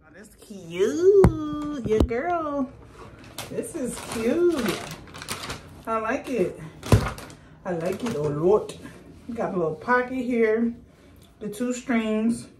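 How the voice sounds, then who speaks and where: A woman in her thirties chatting casually to camera in a vlog from a small bedroom, relaxed and talkative. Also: a little high, slow pace, long pauses, background noise. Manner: relaxed, chatty, uses lots of "y'all". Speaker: vlogger.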